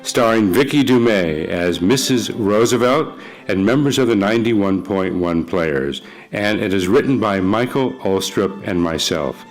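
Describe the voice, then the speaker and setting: Low-voiced man hosting radio theater. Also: expressive, a little slow, soft background music, poor audio. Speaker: host, producer of radio theater. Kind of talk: live broadcast announcing and introduction. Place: church before a live audience.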